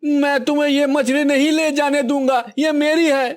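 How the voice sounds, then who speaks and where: A woman's voice: high-pitched, flat delivery, moderate pace, natural voice. A woman voicing a poor, humble fisherman with an earnest, plaintive manner in a cartoon moral story. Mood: pleading, desperate. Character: poor, pleading fisherman, humble family man.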